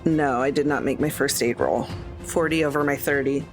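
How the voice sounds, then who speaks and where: Deep-voiced woman gaming over music. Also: steady tone, normal speed. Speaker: tabletop game player. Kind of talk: casual roleplaying game chat. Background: background music.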